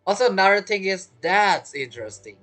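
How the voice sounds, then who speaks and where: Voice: medium pitch, expressive, brisk, a bit bright. A gamer giving casual gameplay commentary with informal, muttered asides. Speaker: gaming video creator. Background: game music.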